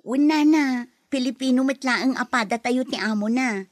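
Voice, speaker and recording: A woman's voice: high-pitched, moderate pace, no room sound, studio quality. A woman playing a bubbly Ilocano returnee. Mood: cheerful. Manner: bubbly, laughs often. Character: young woman home from abroad, cheerful. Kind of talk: radio drama acting.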